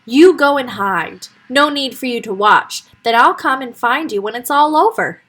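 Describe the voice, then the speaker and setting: A big, blustering male wolf from a children's storybook, as a woman reads him aloud. He booms out his lines firmly. The voice sits up high and swings around a lot. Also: lively intonation, moderate pace, a bit bright. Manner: booming and firm. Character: big bossy male wolf, blustering but good-hearted.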